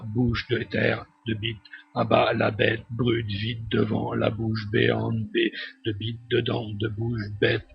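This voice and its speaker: A man recites experimental poetry like a litany, in short fragments that keep circling back. His pitch is on the low side and almost completely flat, and he takes his time between phrases. The recording is slightly dull, with faint noise. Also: creaky. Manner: repetitive fragmented short phrases, monotone chant. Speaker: poet reciting his own text. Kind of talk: incantatory spoken-word poetry litany.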